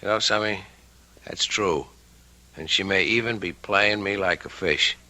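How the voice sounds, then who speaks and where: An actor playing a young, smooth-talking but guarded newspaper reporter in an old-time radio drama. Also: male voice, deep voice, unhurried, sharp tone, full character voice. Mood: confiding, insistent.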